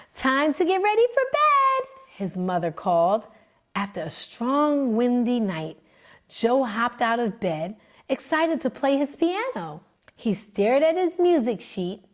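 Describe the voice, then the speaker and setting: Lively, sing-song woman reading a story. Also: on the high side. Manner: animated, expressive, sing-song narration. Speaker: librarian reading aloud. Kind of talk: children's read-aloud storytelling.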